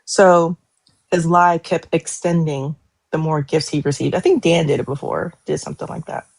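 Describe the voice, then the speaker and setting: A woman chatting casually on a livestream, talkative and quick to apologize. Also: low-pitched, steady tone, creaky, a little mellow, slightly rough, dry recording.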